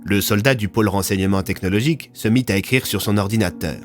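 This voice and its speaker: A man narrates a scripted fiction serial as storyteller. His voice is on the low side, and music plays faintly behind it. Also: fast.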